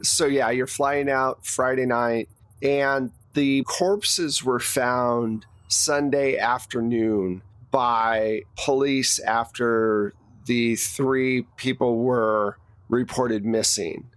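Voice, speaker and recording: Man, game master, conversational tabletop narration, informal explanatory delivery, slightly low pitch, very dry sound. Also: fairly even intonation, drawn-out words, fairly bright tone, clean tone, no echo.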